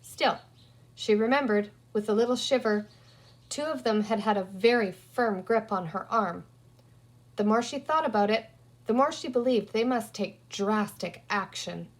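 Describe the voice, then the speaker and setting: A woman reading a children's story aloud in an expressive narrating style. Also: mid-range voice, a little slow. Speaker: audiobook reader.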